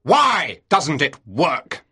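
Casting: woman reading a stern male ship captain, commanding and quick to anger, lively pitch. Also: heavily acted. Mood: furious. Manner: clipped, commanding, furious outbursts. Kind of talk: audiobook reading.

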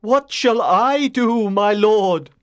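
Woman acting as loyal male knight, storybook tale, earnest, deferential, anxious, unhurried delivery, mid-range pitch. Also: expressive, a little mellow, no echo, clean studio sound, close to an everyday voice. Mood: desperate. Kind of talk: storybook character voice.

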